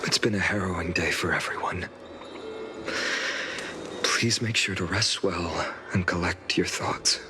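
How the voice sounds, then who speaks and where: Unhurried, earnest young heroic man. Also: deep voice, noisy background. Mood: grave, gentle. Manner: earnest, grave, polite. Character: earnest young heroic leader, burdened yet courteous. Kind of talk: scripted dramatic game voice acting. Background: music.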